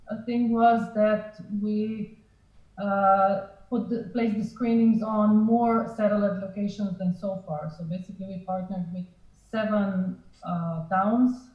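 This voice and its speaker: Casting: woman panelist from Slovenia, mid-pitched, conversational and explanatory, muffled audio with strong room echo. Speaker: film festival organizer, panelist. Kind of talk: unscripted panel discussion. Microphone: online call played in a room. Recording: echoey, dull lo-fi online sound.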